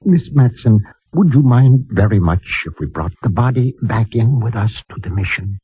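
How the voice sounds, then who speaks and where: Husky, gentle, solemn priest. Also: male voice, mid-range voice, expressive, normal speed. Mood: troubled. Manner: hushed, pious, courtly. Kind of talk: 1950s radio drama acting. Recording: dull old radio recording.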